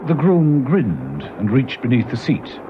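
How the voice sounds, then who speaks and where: A man as the narrator of a spy thriller radio serial, telling the story in a measured, dramatic way. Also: mid-range voice, huge pitch swings, noisy background. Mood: suspenseful storytelling. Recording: dull old radio recording with music.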